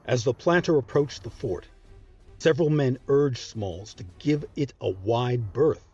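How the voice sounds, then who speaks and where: A man's voice: mid-range voice, a little slow, mellow, slightly rough, lo-fi sound. A man narrating history in a scripted documentary style. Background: background music.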